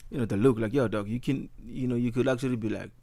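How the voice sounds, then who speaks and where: A man's voice: mid-range voice, fairly even intonation, fast talker, vocal fry, warm tone. A man talking casually in an interview as a musician, laid-back, full of slang and fillers. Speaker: guest musician.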